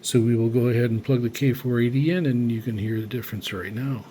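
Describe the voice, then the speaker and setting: A man talks casually through a hands-on gear demonstration in a flat, even voice. He is halting and deliberate, working through it unscripted. Faint noise sits behind him. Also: mid-range voice. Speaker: shortwave radio hobbyist demonstrating receivers.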